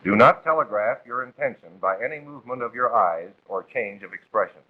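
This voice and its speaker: Narrow phone-like old film soundtrack, American man, husky voice, formal instructional narration, 1940s style. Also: medium pitch, animated, a little fast.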